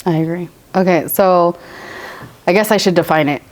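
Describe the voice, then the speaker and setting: A woman's voice: lowish voice, moderate pace, a little mellow. A woman in her thirties hosting a podcast panel, chatty and teasing. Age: 30s. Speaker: podcast host. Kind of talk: casual panel discussion.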